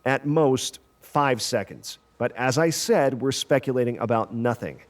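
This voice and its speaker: A self-assured male scientist in a sci-fi radio play, acted by a man. He explains things precisely and technically in a measured, medium-pitched voice. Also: animated, lightly acted. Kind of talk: audio drama acting.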